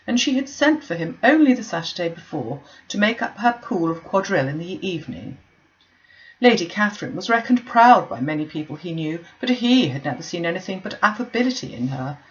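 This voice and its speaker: A woman reads a classic novel aloud, her voice rising and falling in a lively, animated way. The recording is dull and lo-fi, like a simple home setup. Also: mid-range voice. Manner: expressive, animated reading. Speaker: audiobook reader.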